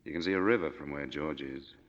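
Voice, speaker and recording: Deep-voiced, world-weary American war veteran. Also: male voice, brisk. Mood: somber, gentle. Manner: laconic, understated. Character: world-weary, plain-spoken male war veteran, former army major. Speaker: actor in a radio drama. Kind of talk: 1940s radio acting. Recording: dull, noisy 1940s radio recording.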